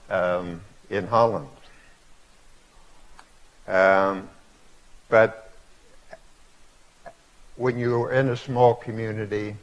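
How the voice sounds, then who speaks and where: A man in his eighties giving a reflective public lecture to a live audience, telling stories in a halting way with many fillers. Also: fairly low pitch, muffled recording. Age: 80s. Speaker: guest speaker. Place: auditorium before a live audience.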